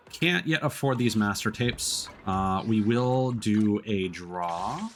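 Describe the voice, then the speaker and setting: A man's voice: fairly low pitch, fairly bright tone, a little hiss or hum. A man doing casual video game livestream commentary, talking through what is on screen as he plays. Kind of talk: casual game stream commentary, reading dialogue aloud. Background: background music.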